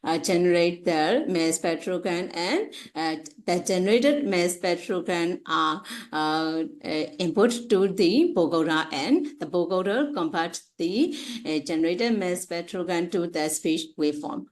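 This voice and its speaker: A woman with a Burmese accent giving an academic presentation in a halting, hesitant way with frequent uhs. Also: lowish voice. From Myanmar. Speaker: conference presenter, researcher.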